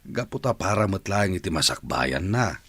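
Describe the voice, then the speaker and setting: A low, husky family man in middle age, fond yet worried. His gravelly voice moves expressively through a radio play. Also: big pitch swings, normal speed, fairly bright tone, no room sound, exaggerated voice. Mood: worried, resigned. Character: middle-aged father and uncle, fond but worried. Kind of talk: radio drama acting.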